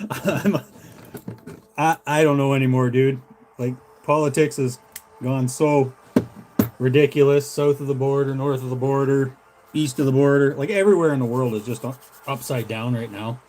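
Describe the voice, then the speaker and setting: A man's voice: medium pitch, fairly even intonation, moderate pace, a little mellow, a little hiss or hum. A Canadian man hosting a casual livestream, rambling in a laid-back, folksy way as he chats with viewers. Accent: Canadian English. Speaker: livestream host, hobbyist lure maker. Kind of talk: casual chat with live viewers.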